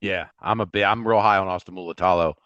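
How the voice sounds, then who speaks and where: A man's voice: deep voice, steady tone, fast talker, a bit bright, no room sound, highs cut off. A man co-hosting a podcast in a casual, chatty style about sports. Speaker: podcast co-host.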